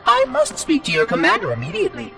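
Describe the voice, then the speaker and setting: 343 Guilty Spark, a prim high-voiced robot. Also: male voice, wildly swooping pitch, gravelly, sharp tone, faint music behind, exaggerated voice. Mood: fussy. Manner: prim, condescending, quick. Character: fussy chirpy male AI monitor robot.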